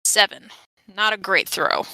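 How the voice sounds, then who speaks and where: A woman's voice: medium pitch, a little slow, sharp tone, clean tone, no echo, poor audio. A woman playing a tabletop game, casually describing what her character does.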